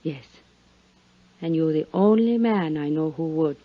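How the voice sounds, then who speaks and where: A woman's voice: low voice, measured pace. A woman playing a cool, coy, hard-headed businesswoman in a 1950s radio drama, speaking with poised, teasing intimacy. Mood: coy, tender. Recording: muffled vintage 1950s radio recording.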